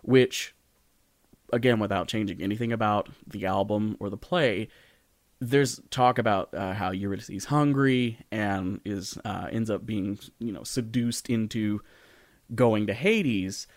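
A man with a fairly low voice, recorded close and dry. He talks like a podcast co-host in casual discussion, informal and rambling as he works his thoughts out aloud. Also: unhurried, no echo. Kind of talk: casual discussion of storytelling in audio and stage.

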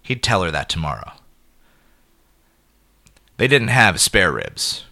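A man narrating a scripted short story in an expressive, measured way with clipped phrases. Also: deep voice, vocal fry.

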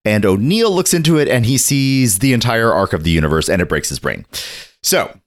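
A man talking with a lot of lift and swing in his voice, jumping from deep asides to high, excited bits. He's a bit gravelly from a head cold and keeps riffing and joking through his rundown. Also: a little fast. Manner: animated, jokey, drifts into bits and riffs. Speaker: podcast co-host. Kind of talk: casual chat.

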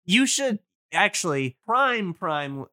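A man's voice: high voice, sing-song, slow, bright, forceful tone, no echo, studio quality. A man in a casual podcast chat, talking in an enthusiastic, rambling, informal way.

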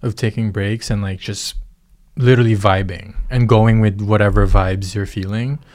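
A podcast co-host talking in a relaxed, teasing way in casual chat. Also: low voice, moderate pace.

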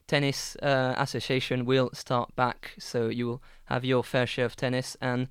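A man's voice: medium pitch, flat delivery, normal speed, fairly bright tone, smooth, no echo, studio quality. Hesitant male podcast host. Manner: hesitant, many ums and restarts. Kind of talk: casual chat.

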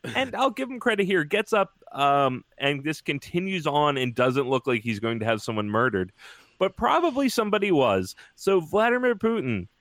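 Dry deadpan male podcast co-host. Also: a little high, normal speed, dry recording. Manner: wry, deadpan storytelling. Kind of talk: casual comedic sports chat.

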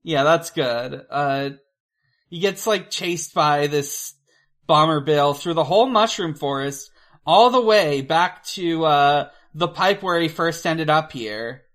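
A podcast co-host chatting casually about a film, halting and hesitant, with dry humour. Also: mid-range voice, a bit bright.

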